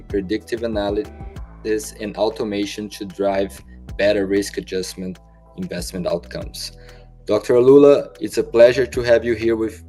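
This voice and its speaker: A male podcast host reading a formal introduction and asking questions in slightly halting phrasing. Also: on the low side, darkish tone.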